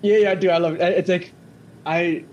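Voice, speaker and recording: A man's voice: high voice, fairly even intonation, normal speed, darkish tone, lo-fi sound. A young man from Indonesia co-hosting a casual podcast, telling stories in a rambling way with lots of "like" and laughter. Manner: rambling, heavy filler "like", laughs often. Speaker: podcast co-host. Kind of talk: casual chat.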